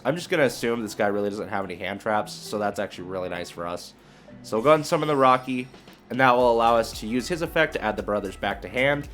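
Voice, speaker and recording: A man talks through his gameplay in a casual, informal way, working out each step aloud. His voice sits at an average pitch, with music playing behind him. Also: fairly bright tone. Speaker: video creator commentating on own card game play. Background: background music.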